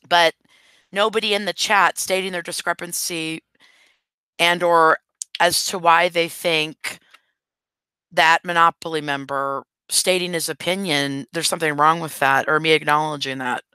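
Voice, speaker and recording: A woman's voice: fairly low pitch, steady tone, a little slow, pushed and bright, clean tone, dry recording. A woman hosting a live stream in casual chat, talking straight to her viewers while losing her voice. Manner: chatty, addresses viewers directly.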